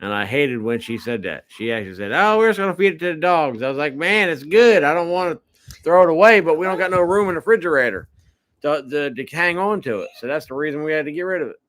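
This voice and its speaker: A man's voice: on the high side, animated, quick pace, fairly bright tone. A man, chatting casually in a viewer Q&A and repeating words as he tells stories. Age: 40s. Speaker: co-host of a family homestead channel. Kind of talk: casual live Q&A chat.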